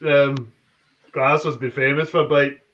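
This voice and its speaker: A man in a casual chat, talking hesitantly with many pauses and restarts. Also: mid-range voice, fairly even intonation, a bit bright. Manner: hesitant, full of ums and restarts. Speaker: chat guest. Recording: dull lo-fi audio.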